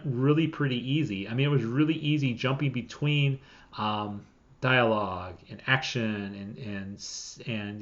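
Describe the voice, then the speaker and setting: A man with a mid-pitched voice talks through a product review in an informal, conversational way. He sounds like an everyday reviewer chatting to his viewers. The recording is slightly dull and lo-fi. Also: a bit bright. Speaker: software reviewer. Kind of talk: casual scripted-outline product review.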